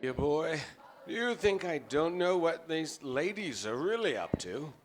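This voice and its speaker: An actor playing a pompous, vain, aging theatre star in a radio play, speaking in a grandiose, theatrical manner. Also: male voice, on the high side, very animated, measured pace. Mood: smug, grand.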